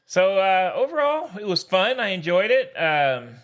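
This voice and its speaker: A man's voice: sits high, sing-song, unhurried, a bit bright. Casual, slangy man talking sports. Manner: informal, slangy, exclamatory, addressing others as man and dude. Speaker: sports podcast co-host.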